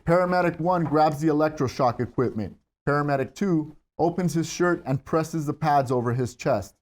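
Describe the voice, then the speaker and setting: A man's voice: fairly high pitch, normal speed. A man narrating screenplay stage directions at a table read.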